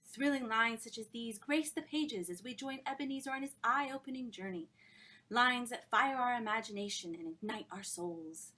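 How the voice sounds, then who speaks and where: A woman reading a story, voicing an effusive, theatrical literary society hostess in a high, thrilling voice. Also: normal speed, pushed and bright. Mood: gushing.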